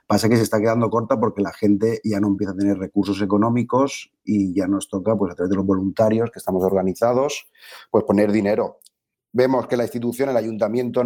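A man's voice: on the low side, mellow. A man explaining his neighbourhood association's work as a guest in a radio interview.

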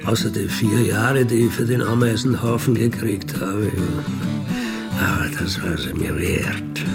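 A man's voice: fairly low pitch, measured pace, vocal fry, loud music behind the voice. A middle-aged man with an Austrian accent tells his own story casually, in a matter-of-fact, unapologetic, colloquial way. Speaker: former burglar telling his life story. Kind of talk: casual first-person storytelling in a radio feature.

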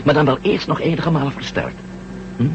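A man acting in a Dutch radio drama as a bold, quick-witted former astronaut, persuasive and decisive. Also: on the high side, lightly acted. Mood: sly. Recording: muffled, noisy old radio drama recording.

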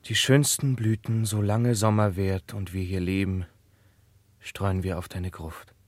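Solemn young prince, lowish voice. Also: male voice, little pitch movement, unhurried, dry recording. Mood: mournful, tender. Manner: lyrical verse delivery. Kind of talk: classical German radio play acting.

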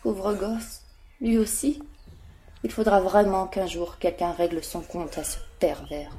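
A woman's voice: medium pitch, steady tone, measured pace, close to an everyday voice. An actress playing an anguished, grieving young village woman full of hatred, narrating her thoughts in a French audio drama. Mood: anguished, hateful. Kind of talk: French audio fiction monologue.

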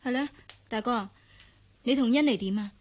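An actress with a Hong Kong Cantonese accent playing an earnest, principled young bride-to-be who speaks candidly in a radio drama. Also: female voice, on the high side, moderate pace, darkish tone, lightly acted. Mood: sisterly. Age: young adult.

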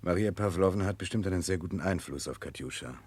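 Earnest prince in old 60s radio play, really low husky voice, hesitant and dutiful. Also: male voice, fast talker, darkish tone, dry recording. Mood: guarded. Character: Russian prince, earnest, dutiful, burdened by conscience. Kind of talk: 1960s German radio drama acting.

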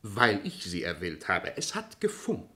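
An actor playing a big, fat, self-mocking writer of love stories in a German radio play, florid and grandiloquent. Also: male voice, low voice, wildly swooping pitch, unhurried, bright, forceful tone, heavily acted. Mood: jovial, wooing.

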